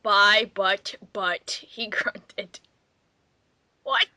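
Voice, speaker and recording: A woman's voice: medium pitch, steady tone, drawn-out words, bright, forceful tone, no room sound. A woman doing a dramatic comic reading aloud among friends, with an exclamatory way of speaking. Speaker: player in a dramatic reading game.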